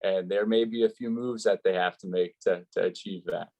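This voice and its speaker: A man's voice: low-pitched, steady tone, normal speed, gravelly, a little mellow. A man who works as a sports announcer, speaking as an interview guest in an articulate, explanatory, conversational way.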